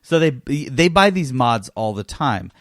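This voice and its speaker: A man's voice: medium pitch, big pitch swings, unhurried, a bit bright, no room sound. Casual male podcast host, dry studio sound. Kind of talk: casual gaming podcast chat.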